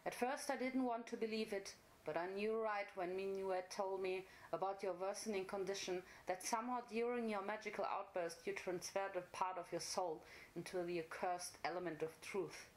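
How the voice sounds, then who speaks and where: A woman's voice: mid-range voice, fairly even intonation, normal speed, hardly any pauses, natural voice, poor audio. Storybook role: grave, strict mother unicorn, village chieftain, protective underneath, played by a woman.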